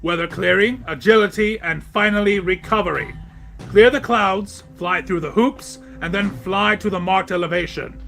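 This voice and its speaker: A man's voice: high-pitched, normal speed, vocal fry, a bit bright, soft background music. A man voicing a stern, unfeeling male pegasus flight instructor who barks clipped orders in an audio drama. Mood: stern, commanding. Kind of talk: audio drama fanfic reading.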